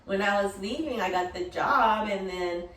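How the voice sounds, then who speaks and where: A middle-aged woman telling personal stories casually, rambling in an animated way and acting out remembered dialogue. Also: mid-range voice, moderate pace, a little hiss or hum. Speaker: dog-business entrepreneur and course creator. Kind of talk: casual personal storytelling to camera. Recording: roomy echo, distant from mic.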